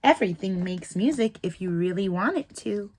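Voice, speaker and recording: A woman voicing a small, wise, kindly cricket in a storybook, speaking softly and reassuringly. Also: medium pitch, animated, moderate pace, slightly rough. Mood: gentle.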